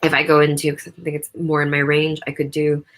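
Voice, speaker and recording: A young woman with a low mezzo voice, chatting loosely with lots of fillers while reviewing a microphone up close in a home room. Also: completely flat delivery, fairly quick. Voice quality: low mezzo voice, crisp consonants. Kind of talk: casual spoken review. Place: untreated home room, not soundproof. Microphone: studio USB condenser microphone close up.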